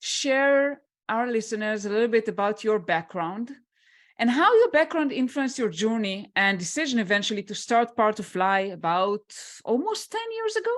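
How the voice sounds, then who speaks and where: A woman's voice: a little high, big pitch swings, moderate pace. A woman who hosts a podcast, introducing and thanking her guest graciously and asking her questions. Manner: gracious, thankful, lavish praise. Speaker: podcast host. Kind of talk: podcast hosting and interviewing.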